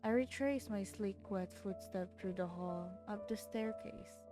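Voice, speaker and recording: Female game streamer, expressive visual novel reader, unhurried, mid-range voice, faint music behind. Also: mid-range voice. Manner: theatrical, expressive. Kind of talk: dramatic reading of visual novel narration with casual reactions.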